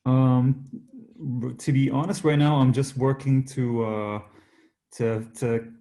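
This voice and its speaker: A man, a singer-songwriter guest, chatting casually in an interview in a hesitant, halting way that often repeats words. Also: medium pitch, level tone, mellow. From Jordanian-born, Canada.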